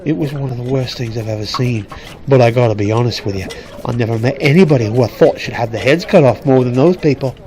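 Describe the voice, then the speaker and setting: A man playing a gruff, hearty, brandy-loving laborer in a fantasy radio drama, talking in a chatty, colloquial way. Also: mid-range voice, fast talker, mellow, close to an everyday voice. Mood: grim gossiping. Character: gruff working man hauling grain sacks, hearty, fond of brandy. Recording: music under the voice.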